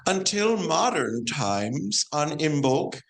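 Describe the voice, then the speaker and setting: A dignified saint acted by a woman declaims scripted stage lines. The delivery is very unhurried, with lively rises and falls in pitch. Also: creaky, heavily acted. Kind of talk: amateur stage play, scripted monologue. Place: church hall, live performance.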